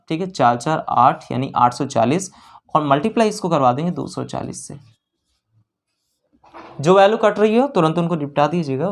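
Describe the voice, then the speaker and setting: A teacher with a North Indian accent works through exam maths step by step, repeating phrases, checking in with learners and mixing in English numbers and terms. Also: mid-range voice. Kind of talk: exam problem-solving lesson, explained step by step.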